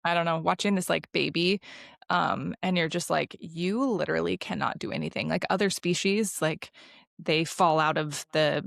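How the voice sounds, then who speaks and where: A woman co-hosting a podcast, chatting casually in a halting, slangy way full of likes and ums. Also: on the low side, gravelly, fairly bright tone, no room sound, clean studio sound. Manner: halting, filler-heavy, slangy conversational delivery. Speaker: podcast co-host.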